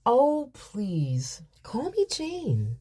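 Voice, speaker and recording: Female HR officer in radio play: mellow, very animated pitch, pointedly polite. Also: drawn-out words, breathy edge, no echo, studio quality, full character voice. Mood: ingratiating. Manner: effusively courteous, formal, pointed. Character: polished, probing female human resources officer.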